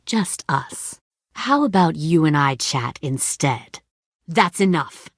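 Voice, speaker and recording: A woman's voice: sits low, lively intonation, slow, no echo, studio quality, heavily acted. A woman playing a cold, menacing middle-aged commander of armed survivors in a dramatic video game.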